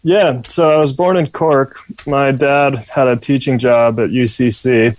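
A man's voice: medium pitch, steady tone, unhurried, no echo. A young American man in his twenties from Utah, answering interview questions in an easygoing, casual way. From Utah, United States. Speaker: athlete interviewee.

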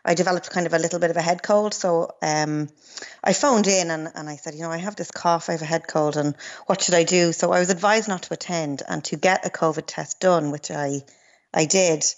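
A woman's voice: fairly low pitch, steady tone, a little fast, lo-fi sound. A woman in her thirties, a new mother, tells her story hesitantly with many ums in a radio interview.

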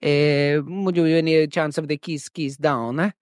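A man's voice: fairly high pitch, steady tone, unhurried, no room sound, studio quality, lightly acted. A man voicing an awkward, pushy man on a date, with stilted phrasing. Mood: awkward, eager.

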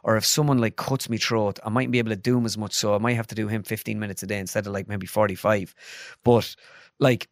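A young Irish man with a Midlands accent, telling stories in an animated way as a guest, jumping into voices and saying "like" often. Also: on the low side, quick pace, no echo, studio quality. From Ireland. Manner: animated, jumps into voices, lots of filler "like". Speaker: guest impressionist. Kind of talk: casual storytelling.

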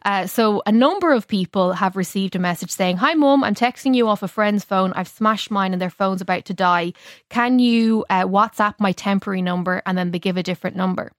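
A woman's voice: medium pitch, a little fast, no room sound, clean studio sound. A woman with an Irish accent speaking as a technology correspondent, explaining things in an informal, conversational radio style.

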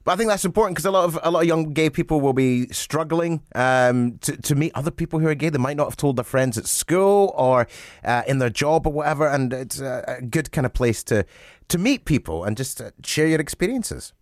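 A Scottish man whose pitch swings up and down as he banters with his co-host. The sound is dry and close-miked. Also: animated, brisk, no echo. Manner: laughs often, warm banter. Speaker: radio co-host. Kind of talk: casual chat.

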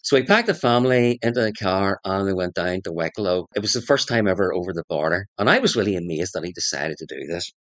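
A man's voice: sits low, lively intonation, fast, hardly any pauses, a bit bright, no echo. A middle-aged man with a Northern Irish accent, telling anecdotes as an interview guest in a chatty, emphatic way. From Northern Ireland. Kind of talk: anecdotal storytelling in conversation.

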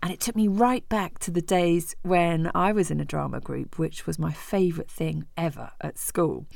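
A woman's voice: lowish voice, moderate pace, creaky, a little mellow, dry recording, clean studio sound. A woman presenting a personal review of books she has read. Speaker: radio presenter recommending books.

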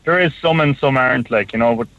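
A man's voice: medium pitch, fast, a bit bright, no room sound. Hungarian guy calling in, lots of like you know, thin tinny phone sound. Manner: rambling, many "like, you know" fillers. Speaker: caller. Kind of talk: phone-in call.

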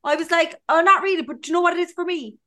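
Chatty high-voiced Cork woman. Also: rapid-fire. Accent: Cork Irish. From Ireland. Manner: animated storyteller, acts out dialogue. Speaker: podcast co-host. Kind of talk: casual chat.

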